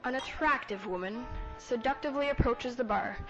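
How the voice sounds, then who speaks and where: A woman's voice: on the high side, normal speed, muffled recording. A woman narrating an amateur radio drama as scripted storytelling. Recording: music under the voice.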